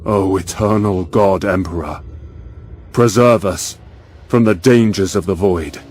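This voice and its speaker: A man narrating a dark space war for a game cutscene, playing it grave, solemn, measured and foreboding. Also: sits low, slight background noise. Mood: ominous, reverent.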